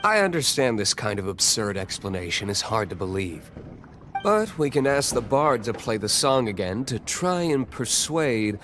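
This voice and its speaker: A man playing an aloof, cynical young tavern owner in a video game, speaking in a cool, dry, measured way. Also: mid-range voice, big pitch swings, a bit bright, slight background noise. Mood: composed. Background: background music.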